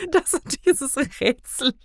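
A woman's voice: sits high, expressive, slow pace, gravelly, dry recording. Giggly woman in casual conversation, dry sound. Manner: giggly, often laughing while talking. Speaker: podcast guest reviewing audio dramas. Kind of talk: casual chat.

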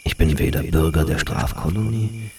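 Man playing a very deep-voiced, pensive traveller. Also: moderate pace, warm tone, slight background noise. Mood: pensive, troubled. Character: reserved male foreign traveller, troubled, scrupulous observer. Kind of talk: literary radio play acting.

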